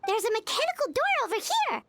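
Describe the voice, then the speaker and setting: A woman voicing a small, excitable female companion sprite in a game, eager and bouncy. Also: extremely high pitch, no room sound, exaggerated voice. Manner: eager, bouncy, quick to chime in.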